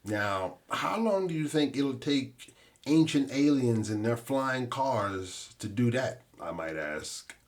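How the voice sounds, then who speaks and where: A man hosting a podcast, delivering a scripted monologue in a measured, explanatory manner. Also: mid-range voice, animated. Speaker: podcast host and author.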